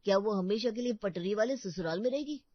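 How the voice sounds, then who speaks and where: Woman plays young brother in a cartoon tale, earnest, indignant, mid-pitched, dry dulled sound. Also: a little fast, dry recording, dull audio, natural voice. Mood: suspicious.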